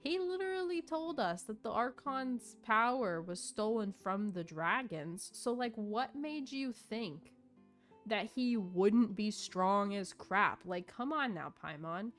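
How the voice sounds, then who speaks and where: A woman's voice: medium pitch, expressive, slow, a bit bright, faint music behind. A woman giving casual, opinionated live commentary as a game streamer, talking in slang.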